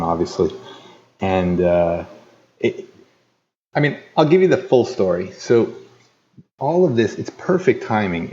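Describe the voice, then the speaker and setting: A man with a low voice who is a guest on a podcast, recorded with dull, lo-fi audio. He tells his story eagerly and laughs easily as he explains things. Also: darkish tone, a little hiss or hum. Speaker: interview guest. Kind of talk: casual interview chat.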